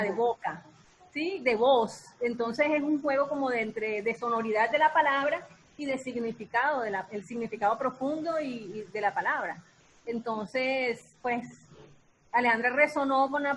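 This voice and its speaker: A woman talking casually and reflectively as an artist, pausing and repeating words for emphasis. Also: on the high side, a bit bright, slight background noise, poor audio. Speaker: voice artist, founder of an artistic collective. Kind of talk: casual reflective talk in an online discussion. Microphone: video call.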